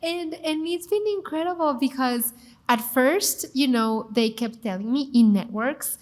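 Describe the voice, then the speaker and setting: A woman with a Mexican accent talks with enthusiasm and emphasis. Her voice sits fairly high. She speaks as a guest in a panel conversation. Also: unhurried. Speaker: TV cooking show host, guest.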